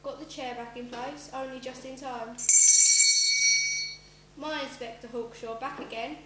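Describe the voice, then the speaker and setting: A high young voice of a school pupil acting plays a sly, murderous barber who feigns innocence. It is a villain from a radio melodrama, recorded in a roomy space under a loud hum. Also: steady tone, measured pace. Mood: gloating. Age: child, about 12 to 13. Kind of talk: amateur radio play melodrama.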